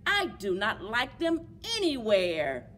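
Grumpy rhyming refuser read by a woman: emphatic, slow, swooping pitch, clear room noise. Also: wildly swooping pitch, a bit bright, exaggerated voice. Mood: grumpy refusing. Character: stubborn, grumpy creature refusing food. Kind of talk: rhyming picture-book read-aloud. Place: public library children's book corner.

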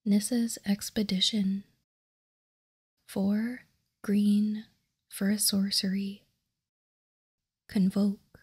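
Slow, breathy whispering woman. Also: mid-range voice, flat delivery. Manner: unhurried, soft, measured. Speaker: host of a card-game ASMR show. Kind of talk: whispered ASMR, reading and commenting on cards.

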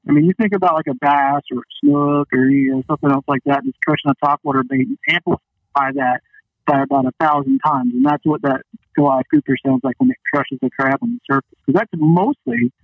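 A man's voice: a little high, a little fast, creaky, no echo. A man chatting casually as a guest fishing captain over the phone, folksy and full of "you know". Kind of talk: casual storytelling interview chat. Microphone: phone call. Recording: dull band-limited phone line.

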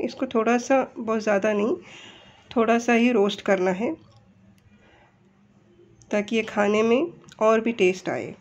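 A woman's voice: medium pitch, darkish tone, lo-fi sound. A woman with an Indian accent gives step-by-step instructions as a home cook in a recipe voice-over. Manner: explanatory, step-by-step.

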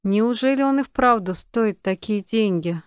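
Man voicing a stern mother, high clear voice. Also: unhurried, no room sound, studio quality. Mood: worried, reproachful. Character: stern, worried mother, a law-minded wife.

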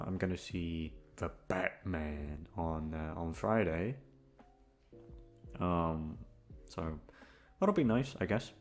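A man's voice: deep voice, darkish tone. A young man chatting casually and hesitantly to his listeners, rambling, swearing and putting himself down. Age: young adult. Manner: hesitant, self-deprecating, sweary. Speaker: audio roleplay voice actor chatting to listeners. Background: music.

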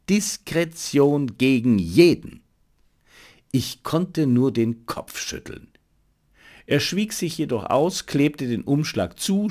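A man narrating a detective story as an audiobook, in a measured, dramatic storytelling manner. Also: mid-range voice.

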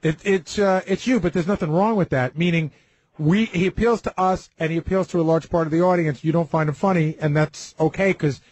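Male radio host, slightly high husky voice, dry studio sound, easygoing explanatory chat, conciliatory with callers. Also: a little fast, darkish tone, no echo. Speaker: radio show host and producer. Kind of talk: casual talk radio chat.

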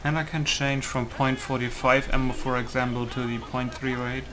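A man's voice: medium pitch, flat delivery, normal speed, rough recording. A man giving casual gameplay commentary, musing aloud and often asking himself questions. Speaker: gaming video host. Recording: music playing behind the voice. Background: music.

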